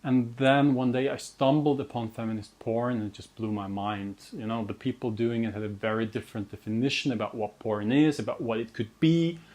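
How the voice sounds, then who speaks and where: A man's voice: medium pitch, steady tone, brisk, hardly any pauses, a little mellow. A young adult man with an Austrian German accent, speaking English as a second language as he introduces his interview series in an earnest, self-deprecating way, often restarting words. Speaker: host and researcher. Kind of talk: scripted personal introduction to camera.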